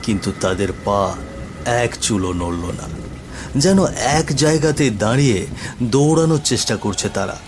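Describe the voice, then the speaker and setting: A man's voice: mid-range voice, lively intonation, moderate pace, background noise. A man reading scripted narration for a horror cartoon story.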